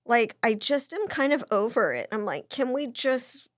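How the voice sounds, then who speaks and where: Woman, 30s, co-host, stuffy nose and a touch hoarse, wry banter, slightly higher voice, dry close mic. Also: big pitch swings, normal speed, no echo. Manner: wry, self-mocking, laughs often. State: congested from a cold, slightly hoarse. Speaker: podcast co-host. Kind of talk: casual chat.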